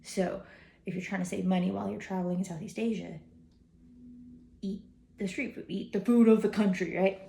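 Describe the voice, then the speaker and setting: A chatty young woman rambles through her travel food stories, her talk full of fillers and quick asides. Her voice is mellow and relaxed. It rings a little in an echoey room. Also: medium pitch, creaky. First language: English, some Hindi learned. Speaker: travel vlogger. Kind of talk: casual chat.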